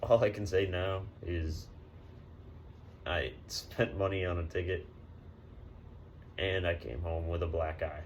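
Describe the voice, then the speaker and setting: A man plays a disgruntled, sheepish guy from a theater audience. His voice is low and subdued, with halting, slangy grumbling in a mock newsreel interview, over faint noise. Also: steady tone. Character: disgruntled laid-back male audience member, sheepish brawler.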